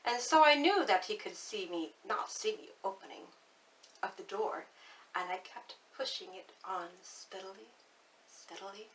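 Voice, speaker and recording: A woman giving a dramatic, spooky reading as a nervous, obsessive mad murderer narrating his crime, swinging between hushed and intense delivery. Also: mid-range voice, distant mic, a little hiss or hum, poor audio. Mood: tense, brooding. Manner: theatrical, swinging from hushed to intense, deliberate emphasis. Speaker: storyteller reading a classic horror tale aloud.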